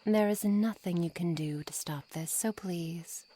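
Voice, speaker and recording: A haughty witch, acted by a woman for an ASMR roleplay, speaks in a breathy, husky voice on the low side. Her delivery is very slow and formal, with a condescending, controlling edge that often sinks toward a whisper. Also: dark tone. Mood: soothing, ominous. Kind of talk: ASMR roleplay, scripted monologue to the listener.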